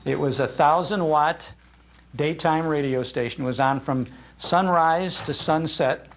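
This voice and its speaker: A man's voice: fairly high pitch, measured pace. An older man, a radio host, giving an informal live talk in a chatty, anecdotal way into an event microphone. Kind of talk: informal public talk, storytelling. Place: speaking live in a public library room.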